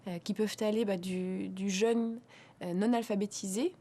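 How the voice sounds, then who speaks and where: A woman teacher speaks in an interview, mid-pitched and mellow, with a relaxed timbre. She talks haltingly, stopping often on fillers and starting her phrases over as she explains. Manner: hesitant, frequent filler pauses and restarts.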